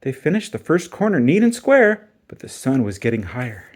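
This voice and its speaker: A man reading a children's story aloud in an expressive, dramatic and emphatic way. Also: mid-range voice, fairly quick. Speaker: storybook reader.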